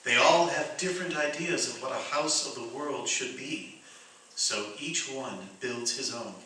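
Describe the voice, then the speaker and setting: Woman as a gentle, kindly spirit, very echoey. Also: a bass voice, a little slow, exaggerated voice. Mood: explaining, regretful. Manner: gentle, theatrical, patient explaining. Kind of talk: old-time radio drama acting. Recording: very echoey hall, distant mic, faint noise.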